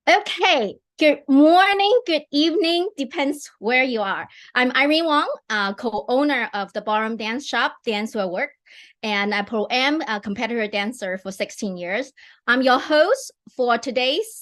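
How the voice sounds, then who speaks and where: A woman's voice: fairly high pitch, animated, unhurried, fairly bright tone. Enthusiastic woman hosting a video call. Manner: chatty, enthusiastic, many fillers and restarts. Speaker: host. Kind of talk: live online interview.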